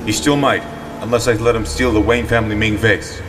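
An actor playing a stern, terse, confident superhero in an audio drama. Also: male voice, on the low side, a little fast, background noise, natural voice. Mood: calm, deductive. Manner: terse, deadpan, resolute. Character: stern, brooding male superhero, calm and confident. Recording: long reverb, music behind.

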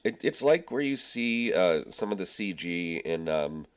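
A man with a cold, sounding husky and stuffed up, chatting casually about movies as a podcast co-host. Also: low-pitched, animated, a little slow. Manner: rambling, many fillers and restarts. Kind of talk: casual movie review chat.

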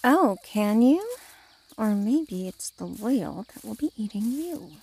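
A woman's voice: on the high side, lively intonation, unhurried, gravelly, warm tone, husky, full character voice. A woman playing an alluring blue water creature in an audio drama, coy and faintly mocking with hidden menace. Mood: sly, menacing.

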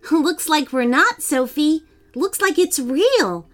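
Woman as a bright-spirited high-voiced brave little girl. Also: animated, takes it slowly. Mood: cheerful. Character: young twin girl, brave, kind and earnest. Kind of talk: children's storybook character voice.

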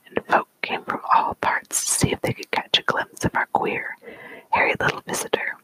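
A storyteller reading an old folk tale aloud entirely in a soft, unvoiced whisper. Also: no echo, poor audio.

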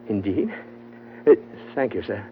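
A man playing a courteous, measured trader with formal, halting politeness in a 1940s radio drama. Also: lowish voice, phone-quality sound. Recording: band-limited old radio with hum.

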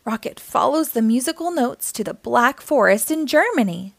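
A woman hosting a children's storytime, reading aloud in an animated, encouraging way and speaking directly to young listeners. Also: fairly high pitch, normal speed, vocal fry, no echo.

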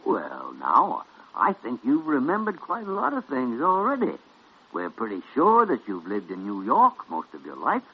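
A crusty old hospital doctor in an old radio drama, blustering and sardonic. His husky voice swings widely as he needles and bosses people. The recording is dull and dated. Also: mid-range voice, huge pitch swings, normal speed, exaggerated voice. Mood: coaxing. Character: gruff, needling old senior doctor, bossy but shrewd. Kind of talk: 1940s radio drama acting.

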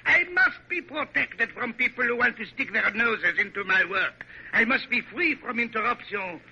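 An actor playing a proud, temperamental French major and artist in a theatrical classic radio drama, with a French accent and an indignant, haughty manner. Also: male voice, extremely high pitch, expressive, moderate pace, vocal fry, bright, forceful tone, breathy edge, exaggerated voice. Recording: dull, lo-fi old radio recording.

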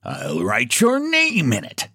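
A man's voice: medium pitch, wildly swooping pitch, bright, forceful tone, husky, no echo, studio quality, exaggerated voice. Man playing a sly, pompous, swooping storybook book agent. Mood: slyly courteous. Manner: mock-polite, mysterious, brisk, pompous. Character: short fat shabby book agent, sly and courteous. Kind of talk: storybook character voice.

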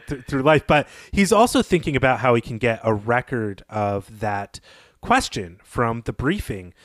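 A man's voice: medium pitch, no room sound, clean studio sound. A man co-hosting a podcast, giving reflective, analytical commentary on a story in conversational speech. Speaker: podcast co-host.

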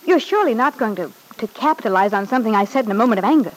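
An actress playing an anxious young wife in an old-time radio drama, speaking hesitantly and pleadingly. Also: female voice, fairly high pitch, lively intonation, very fast, low-quality recording.

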